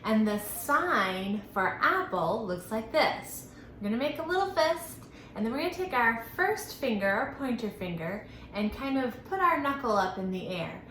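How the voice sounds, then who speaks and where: A woman hosting a children's storytime lesson, speaking in an animated, sing-song, instructional way and enunciating carefully for young children. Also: a little high, moderate pace. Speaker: children's library storytime host. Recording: roomy echo, faint background noise.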